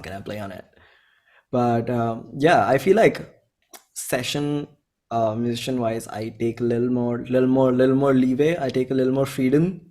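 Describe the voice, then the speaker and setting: A man in his twenties speaks with an Indian accent at a medium pitch. He talks like a musician in a relaxed interview, informal and rambling. He often repeats himself and slips into bits of quoted dialogue. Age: 20s. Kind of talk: casual conversational interview.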